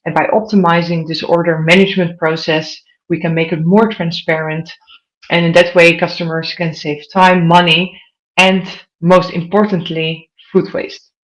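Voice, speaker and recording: A woman's voice: lowish voice, flat delivery, normal speed, rough recording. Dutch-accented woman presenting a talk. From Netherlands. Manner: addresses the audience directly with questions. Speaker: conference speaker. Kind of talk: online conference presentation.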